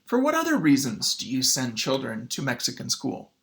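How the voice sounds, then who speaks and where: A man's voice: mid-range voice, huge pitch swings, normal speed, fairly bright tone. Man reading as a pointed, probing courtroom lawyer. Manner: formal, pointed questioning. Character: probing male lawyer questioning a witness in court.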